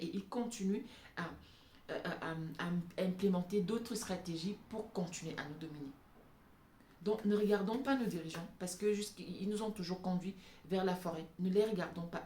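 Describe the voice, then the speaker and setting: A woman's voice: fairly low pitch, a little mellow. A woman giving an impassioned, off-the-cuff opinion monologue, emphatic and repeating phrases for stress. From francophone Africa. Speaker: vlogger addressing African women. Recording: echoey room with background noise.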